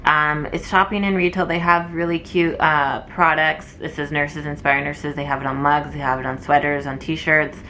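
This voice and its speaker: A woman's voice: deep voice, flat delivery, moderate pace, few pauses, bright, forceful tone, slight background noise. A young woman, a nursing student, chatting casually to camera with lots of fillers, her voice wearing thin from talking. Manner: chatty and rambling, many fillers. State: losing her voice.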